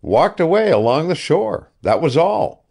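A man's voice: a little high, sing-song, measured pace, vocal fry, warm tone, pitched above the performer's own voice, exaggerated voice. A man voicing a harsh old ship owner. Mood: curt. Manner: harsh, impatient, clipped. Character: shrewd older male whaling ship owner, curt, evasive. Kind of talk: audiobook character reading.

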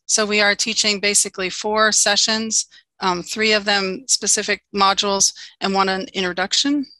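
A woman giving a conference talk over a video call, earnest and steady with frequent ums, now and then asking for the next slide. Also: medium pitch, moderate pace, dry recording, rough recording. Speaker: conference presenter. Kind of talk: virtual conference presentation.

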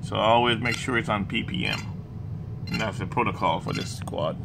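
A man with a Jamaican accent, talking through drone setup software in a hesitant way, full of fillers and restarts. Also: lowish voice, normal speed, creaky, background noise. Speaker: hobbyist demonstrating drone software. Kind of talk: casual screen walkthrough. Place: indoors, room with a laptop.

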